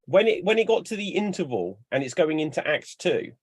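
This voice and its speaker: A young man chatting on a panel, telling lively stories and acting out bits as he goes. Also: fairly high pitch, a little fast, slightly rough, dry recording. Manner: animated storyteller, acts out bits. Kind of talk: casual chat.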